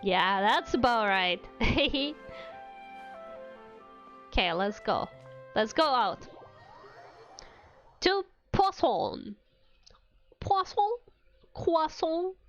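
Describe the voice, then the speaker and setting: A woman gives casual live commentary on a game in a fairly high voice. She talks slowly and playfully. The sound is a little dull, with faint music behind her. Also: lively intonation, a bit bright. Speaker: game streamer.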